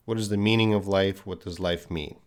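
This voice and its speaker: A man's voice: deep voice, steady tone, fairly quick. A middle-aged man with a light Canadian accent teaching English on a live stream, patiently answering viewers' questions and repeating words for learners.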